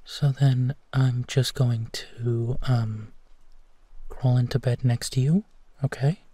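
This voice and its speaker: A man playing a gentle, protective werewolf boyfriend in an intimate bedtime roleplay audio, speaking softly and soothingly with hesitant pauses. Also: medium pitch, level tone, slightly rough, dry recording. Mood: tender, willing. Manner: soft, soothing, hesitant pauses, often drops to whispering. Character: gentle protective male werewolf boyfriend comforting his partner.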